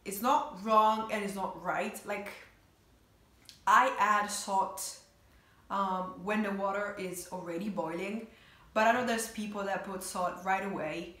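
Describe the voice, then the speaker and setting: A woman's voice: mid-range voice, fairly even intonation, measured pace, a bit bright. A woman in her twenties speaks English with an Italian accent. She talks casually to her viewers as a vlogger reacting to a video, her mid-range voice carrying the echo of a bedroom. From Italy. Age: 20s. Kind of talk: casual reaction commentary to camera. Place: small bedroom indoors, sitting on bed. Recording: roomy, echoey bedroom sound.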